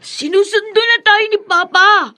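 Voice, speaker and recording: A woman voicing an eager, excitable little boy pleading in a Filipino radio drama, with a Filipino accent. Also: very high-pitched, measured pace, heavily acted.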